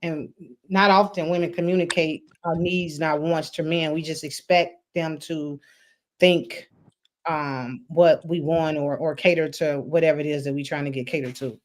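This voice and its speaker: Lower-voiced woman hosting, hesitant. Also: fairly even intonation, moderate pace. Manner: earnest, hesitant, many fillers. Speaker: podcast host. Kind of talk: casual chat.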